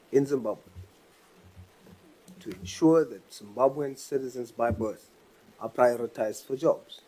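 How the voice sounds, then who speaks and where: A man questions a witness at a committee hearing, pressing and insistent, circling back to the same question. His voice is mid-pitched and plain. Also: dark tone, poor audio. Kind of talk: parliamentary questioning.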